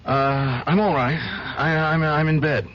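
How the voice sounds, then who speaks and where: An actor playing a weary, guilt-ridden male attorney who talks in a hesitant, halting way in a 1970s radio drama. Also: on the high side, fairly even intonation, noisy background, natural voice. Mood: troubled. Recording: dull old radio recording.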